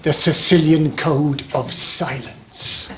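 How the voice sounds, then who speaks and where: A man's voice: a little high, slow, crowd noise. A man playing a brooding, suspicious sea god in a live stage comedy, speaking in terse, formal, pompous phrases. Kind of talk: live one-act stage comedy acting. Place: stage of a small playhouse. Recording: echoey live theatre with audience noise.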